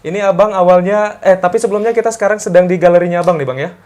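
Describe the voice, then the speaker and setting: A man's voice: high voice, racing, a little mellow. A man hosting an interview program, chatty and upbeat, recorded in an artist's studio. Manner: upbeat, chatty with frequent affirmations. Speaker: program host. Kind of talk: on-location interview.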